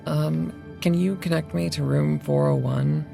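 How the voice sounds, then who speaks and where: An actress playing a hesitant, anxious vampire woman in an audio drama, talking haltingly. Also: low voice, fairly even intonation, creaky, music in the background, exaggerated voice.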